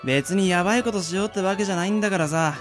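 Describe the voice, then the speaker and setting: A man's voice: sits high, rapid-fire, faint music behind, natural voice. A man acting a breezy, mischievous young prankster, teasing and nonchalant, in an amateur radio drama. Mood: teasing, confident.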